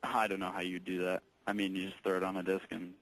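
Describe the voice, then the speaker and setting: A man working as a tech support employee on a call, explaining things patiently in a halting, informal way. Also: low-pitched, monotone, a bit bright, dry recording, low-quality recording. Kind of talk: casual explanatory phone conversation.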